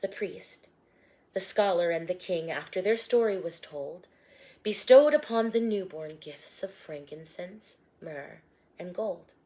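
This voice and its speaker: A woman narrating her own rhyming storybook in a gentle, lilting way, softening to a hush at line ends. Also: fairly low pitch, lively intonation, measured pace. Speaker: author and storyteller.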